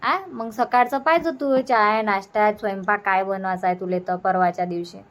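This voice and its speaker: A woman playing a chatty, bossy yet easygoing village mother in a cartoon comedy, with a Varhadi Marathi accent and drawn-out, repetitive talk. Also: medium pitch, steady tone, a bit bright, lightly acted. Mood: chatty, planning. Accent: Varhadi Marathi (Vidarbha). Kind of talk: homemade cartoon comedy dub.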